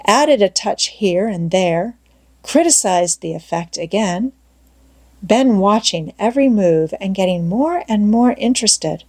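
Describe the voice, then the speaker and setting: Slow, deliberate woman narrator. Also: medium pitch, darkish tone, slightly rough. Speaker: audiobook narrator. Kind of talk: expressive scripted storytelling narration.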